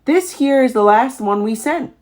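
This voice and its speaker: A woman's voice: fairly high pitch, expressive, normal speed, darkish tone, voice pushed up, close to an everyday voice. A woman voicing a pompous, bullying male tax official in a storybook, with a demanding, authoritative manner. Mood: smug, official. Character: short, pompous, bullying male tax man, city slicker. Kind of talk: storybook read-aloud character voice.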